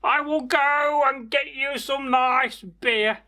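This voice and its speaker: A man plays a weary old servant from a folktale, pitched high and loud. He is obedient and servile, crying out his replies. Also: fairly even intonation, takes it slowly, full character voice. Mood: servile, plaintive.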